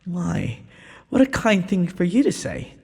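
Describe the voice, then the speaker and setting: A man playing a gentle, courteous young prince in a romantic audio roleplay, speaking formally and a little hesitantly. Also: sits high, huge pitch swings, a little slow, full character voice. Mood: pleased, welcoming. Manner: formal, polite, breathy speech with hesitations. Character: gentle, courteous young underground prince, nervous, earnest suitor. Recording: long hall-like reverb.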